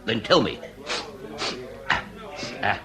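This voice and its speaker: An actor playing a jovial, shrewd period police inspector with a wordy, old-fashioned way of talking in a comic radio drama. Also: male voice, drawn-out words, crowd noise. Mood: shrewd, genial. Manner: old-fashioned wordy speech, hesitant asides, chuckling.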